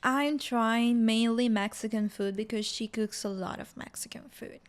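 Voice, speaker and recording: A woman's voice: fairly high pitch, fairly even intonation, moderate pace. A young woman from Italy with a strong Italian accent, speaking reflectively as a guest in an interview conversation. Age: young adult. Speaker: podcast guest, student.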